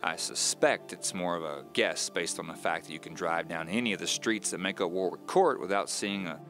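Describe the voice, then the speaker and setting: A brooding, wry divorced man telling his story onto tape in a low voice. He is measured and confiding, in the manner of an audio drama monologue, with faint music underneath. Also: a bit bright. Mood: wry, observant.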